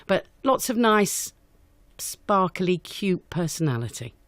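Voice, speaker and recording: A woman's voice: lowish voice, very animated, slow, no room sound. A woman answering questions in an unscripted radio interview, chatty and enthusiastic as a guest director. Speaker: guest, amateur pantomime director.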